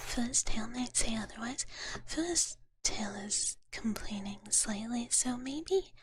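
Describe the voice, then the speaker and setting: A woman whispering in a breathy, soft voice close to the microphone, chatting playfully and cutely with viewers on a live ASMR stream. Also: on the high side, animated, takes it slowly. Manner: playful, cutesy big-sister persona speaking of herself in third person.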